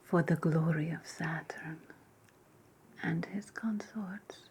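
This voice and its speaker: A woman playing a sinister, sardonic ancient Roman witch in a whispered ASMR roleplay, speaking deliberately and ritualistically, often repeating phrases. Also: low-pitched, darkish tone, breathy edge, heavily acted. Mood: incantatory.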